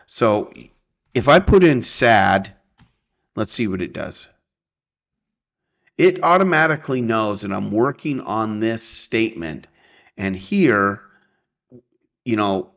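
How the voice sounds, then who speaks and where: A man with a fairly low voice walks through software in an unhurried, casual way. He thinks aloud haltingly and often checks in with short tag questions. The recording is somewhat dull and muffled. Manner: halting, conversational, often checking with "right?" and "okay?". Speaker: presenter of a software tutorial.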